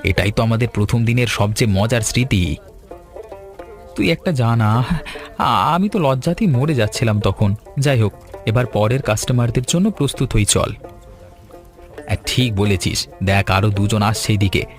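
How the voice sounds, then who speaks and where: A man voicing a friendly young food seller, earnest, polite and chatty, in an animated story. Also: lowish voice, moderate pace, pauses often, soft background music, natural voice. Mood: eager, friendly. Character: eager young fish-fry seller, friendly village man.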